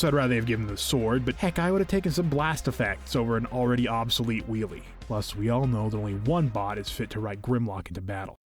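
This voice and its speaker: Enthusiastic young man, casual narration. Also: medium pitch. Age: young adult. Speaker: video host, toy collector. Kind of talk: scripted review narration, conversational. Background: music.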